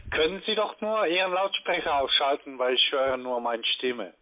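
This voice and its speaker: High-pitched non-native salesman on phone. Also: expressive, normal speed. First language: not German. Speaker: call-centre caller pitching cryptocurrency. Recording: tinny narrowband phone line.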